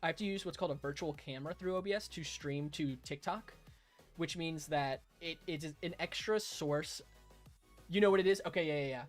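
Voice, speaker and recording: Young man, hoarse, casual streamer chatter, slightly high pitch, soft music in background. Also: a little fast. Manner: chatty, chuckles often, thanks viewers warmly. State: hoarse, raspy throat. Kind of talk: casual live-stream chat reading viewer comments.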